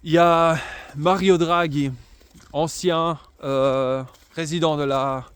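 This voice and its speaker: Casting: male political commentator, fairly high voice, sarcastic, emphatic delivery, halting with fillers. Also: steady tone, fairly bright tone, smooth, no echo. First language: bilingual French and Italian. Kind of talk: unscripted political monologue.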